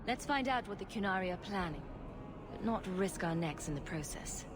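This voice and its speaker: A woman's voice: mid-range voice, expressive, moderate pace. A woman voicing the game's lead, a wry, sarcastic heroine. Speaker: player character leader.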